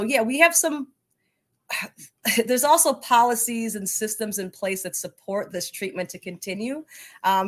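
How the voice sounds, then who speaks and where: An enthusiastic, talkative woman speaking emphatically as a guest in a conversational interview. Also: mid-range voice. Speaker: podcast guest, soccer coaching advocate.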